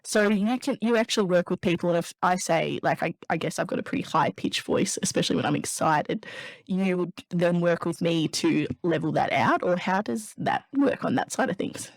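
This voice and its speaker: A woman's voice: on the low side, animated, normal speed, no echo. Enthusiastic woman podcast host. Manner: enthusiastic, rambling questions. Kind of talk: casual interview chat.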